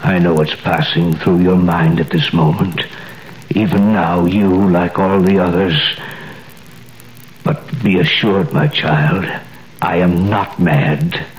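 An actor playing an old dying prisoner in a 1930s radio drama, speaking slowly and earnestly. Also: male voice, deep voice, steady tone, poor audio. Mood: solemn, urgent. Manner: slow, solemn and earnest. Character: old dying male prisoner, gentle and fervent. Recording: old radio recording with faint hiss.

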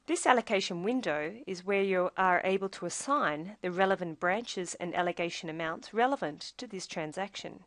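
A woman reading a scripted software tutorial, explaining each step in a measured, instructional way. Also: mid-range voice, no room sound, studio quality.

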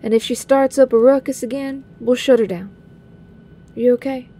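A woman's voice: fairly high pitch, steady tone, normal speed, mellow. A woman playing a tough young mutant heroine with a casual, folksy, direct manner. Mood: sincere.

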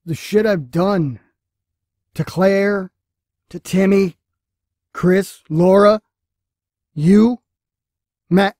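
An actor playing a weary, guilt-ridden relapsed addict and parent in an audio drama, husky and halting. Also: medium pitch, darkish tone, no room sound, clean studio sound. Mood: guilty, weary. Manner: halting, downcast. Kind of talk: podcast audio drama acting.

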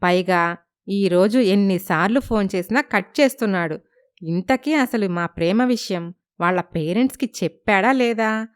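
Clear-voiced woman storyteller. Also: medium pitch, normal speed, no echo, clean studio sound. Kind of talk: scripted story narration.

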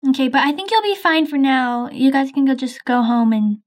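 A woman voicing a calm, practical doctor in an improvised game roleplay, brisk and reassuring. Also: sits high.

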